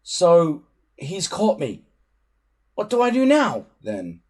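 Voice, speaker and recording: A man's voice: sits high, wildly swooping pitch, takes it slowly, darkish tone, pitched above the performer's own voice, exaggerated voice. Man as a stubborn, indignant Viking boy. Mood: worried musing. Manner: indignant, earnest.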